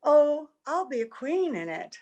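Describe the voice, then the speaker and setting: A woman's voice: high-pitched, sing-song, unhurried, a little mellow, muffled recording, full character voice. A woman playing an anxious, affectionate young-looking wife in an old-time radio play. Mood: delighted. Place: home, over a video call.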